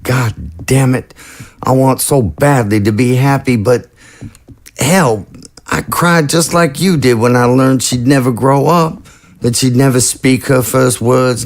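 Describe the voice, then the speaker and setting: An actor in an audio drama playing a troubled undead president who is an anxious, tender father, speaking in a halting, earnest way. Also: mid-range voice, close to an everyday voice. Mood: anguished, hesitant.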